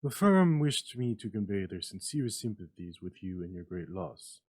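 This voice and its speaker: Man acting solemn, halting company messenger. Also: fairly low pitch, big pitch swings. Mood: formal, reluctant. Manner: quiet, halting, formal. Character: solemn, uneasy company messenger bearing bad news.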